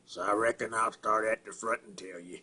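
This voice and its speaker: A man acting a simple-minded rural Southern man in a halting, plainspoken dramatic film monologue, with a throaty rasp and grunts. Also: fairly low pitch, fairly even intonation, sharp tone, a little hiss or hum. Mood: flat, matter-of-fact. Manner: halting, repetitive, plainspoken, folksy.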